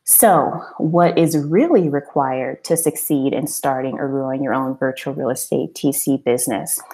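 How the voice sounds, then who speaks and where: A woman's voice: extremely low pitch, moderate pace, mellow. A woman hosting an informal business webinar, talking in an encouraging, conversational way and posing rhetorical questions. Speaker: webinar host and business coach.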